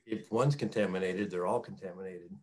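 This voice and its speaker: Middle-aged man, farmer, slightly low pitch, plain-spoken, casual, video call interview. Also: steady tone, brisk, darkish tone, low-quality recording. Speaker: farmer, guest. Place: small wood-panelled room at home. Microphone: computer mic on a video call.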